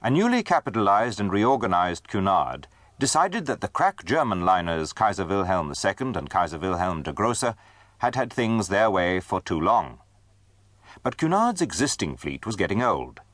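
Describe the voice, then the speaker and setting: A man's voice: deep voice, sing-song, moderate pace, a bit bright, no room sound. A man narrating a dramatised history from a script.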